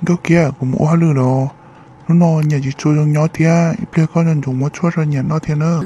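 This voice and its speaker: An actor voicing a man in an animated family drama, in an amateur cartoon style. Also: a little high, fairly quick, lightly acted. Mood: conversational. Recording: dull lo-fi sound, faint noise.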